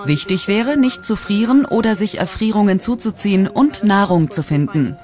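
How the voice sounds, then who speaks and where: A woman's voice: medium pitch, animated, moderate pace. A woman giving scripted explanatory commentary as a documentary voice-over. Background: background music.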